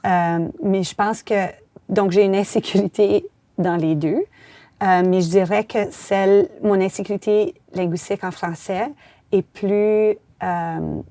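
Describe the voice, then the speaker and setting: A woman's voice: medium pitch, steady tone, a little slow, mellow, no echo. A Franco-Manitoban woman host chatting frankly in an interview, with many fillers. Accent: Franco-Manitoban French. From Manitoba, Canada. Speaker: host and columnist. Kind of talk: casual interview conversation.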